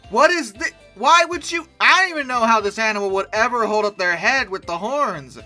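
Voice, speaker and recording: A comedy commentator with a very animated, bright delivery. The host reacts with sarcasm and theatrical exasperation over a soft music bed. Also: medium pitch. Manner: theatrical mock outrage, sarcastic. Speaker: YouTube host reacting to images.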